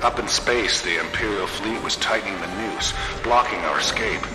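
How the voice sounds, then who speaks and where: An actor playing a battle-weary rebel squadron veteran who recounts his war in grave, measured narration for a game. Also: fairly low pitch, close to an everyday voice. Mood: grim, reflective. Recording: echoing hall reverb, loud music and battle sounds.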